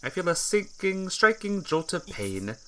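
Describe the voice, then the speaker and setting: A playthrough host reading a visual novel aloud as expressive, dramatic scripted narration. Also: medium pitch, a little slow, slight background noise. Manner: dramatic reading, acts out dialogue.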